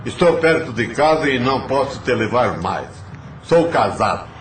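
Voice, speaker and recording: An echoey voice over clear music. A man plays a terse Brazilian trucker in an audio novela, middle-pitched and matter-of-fact. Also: sing-song, normal speed, natural voice. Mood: practical. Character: matter-of-fact married male truck driver.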